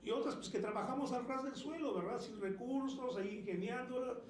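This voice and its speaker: A man's voice: very high-pitched, fairly quick, echoey room, slight background noise. A middle-aged or older man from Peru who has long lived in Mexico, explaining things earnestly and emphatically as an interview guest. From Peru, long resident in Mexico. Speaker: interview guest, human rights lawyer.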